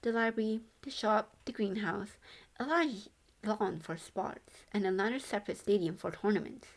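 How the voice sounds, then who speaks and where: A woman narrating a novel as a read-along, haltingly, stumbling over words and correcting herself. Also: mid-range voice. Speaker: streamer reading a novel aloud.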